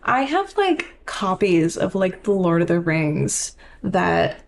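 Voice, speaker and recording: A woman's voice: on the low side, big pitch swings, takes it slowly, gravelly, slight background noise. A woman co-hosting a podcast in casual book discussion, chatty and peppering her sentences with "like". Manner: chatty, heavy use of "like". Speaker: podcast co-host.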